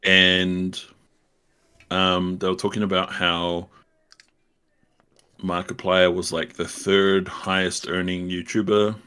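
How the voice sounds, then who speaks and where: A young man with a low-pitched yet bright, forceful voice, chatting loosely on a podcast. He rambles and laughs easily. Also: level tone, takes it slowly, pauses often, smooth. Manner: laid-back, rambling, laughs often. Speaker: podcast co-host. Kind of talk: casual chat.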